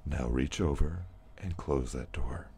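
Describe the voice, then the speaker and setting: An actor playing a stern, exasperated middle-aged radio program director in an audio drama, clipped and authoritative. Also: male voice, a bass voice, unhurried, background noise.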